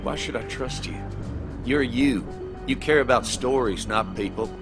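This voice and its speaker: A man's voice: mid-range voice, unhurried, natural voice. Man acting a menacing corrupt cop. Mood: menacing, scornful. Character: corrupt cop turned criminal, menacing, mocking, cornering his hostages. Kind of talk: video game voice acting. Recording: dramatic music behind.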